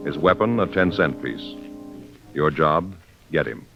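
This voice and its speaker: A man announcing in a dramatic, measured 1950s radio style. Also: rumbling low, lively intonation, gravelly. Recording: dull old radio recording with music.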